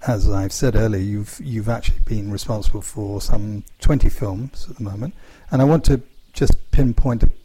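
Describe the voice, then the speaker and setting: A man's voice: fairly low pitch, normal speed, few pauses, warm tone, breathy edge. A man interviewing a guest, asking long rambling questions with frequent hesitations and restarts.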